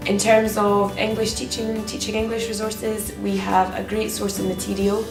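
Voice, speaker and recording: A woman's voice: medium pitch, level tone, normal speed. Scottish female teacher, interview testimonial. Level delivery, roomy recording. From Scotland. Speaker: English teacher. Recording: roomy, distant mic, music over it. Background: music.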